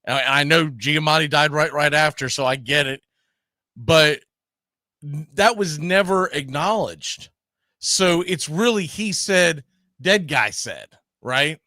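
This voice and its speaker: He is a man hosting a casual, argumentative sports discussion. His voice is bright and sharp, pitched a little high, in a very dry recording. He talks emphatically, circling back to repeat words as he makes his case. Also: animated, takes it slowly, no echo. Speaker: sports talk host.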